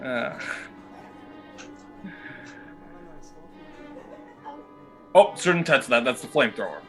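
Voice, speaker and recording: A man's voice: mid-range voice, animated, quick pace, faint music behind, natural voice. A man playing an awkward, earnest middle-aged costumed vigilante in a fan-made drama, hesitant and stammering. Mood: hesitant, helpful. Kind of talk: fan-made dramatic voice acting over video call.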